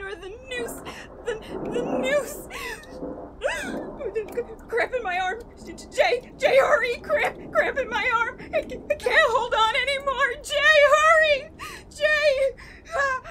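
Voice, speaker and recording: An actress playing a desperate, guilt-ridden woman in a horror radio play, halting and repeating herself as she pleads through tears. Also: very high-pitched, background noise. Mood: anguished panic. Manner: halting, repetitive, sobbing, pleading. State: crying, panicked.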